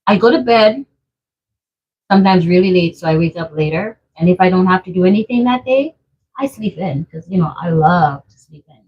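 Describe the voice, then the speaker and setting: A woman's voice: on the low side, animated, normal speed, husky, highs cut off. A woman from Trinidad with a Trinidadian accent, chatting casually on a live stream at home and reading out viewer comments in a playful way. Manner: chatty and playful, laughing often. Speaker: vlogger hosting a live stream.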